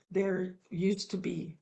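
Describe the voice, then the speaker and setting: A woman from the Philippines, teaching a spiritual course in a relaxed, unscripted way, often pausing with ums and restarting her sentences. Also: fairly low pitch, vocal fry, dull audio.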